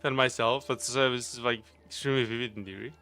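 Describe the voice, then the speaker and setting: A man's voice: mid-range voice, fairly even intonation, quick pace, pushed and bright, soft background music. A man with a Portuguese accent, casually chatting with viewers on a game live stream, rambling and chuckling often.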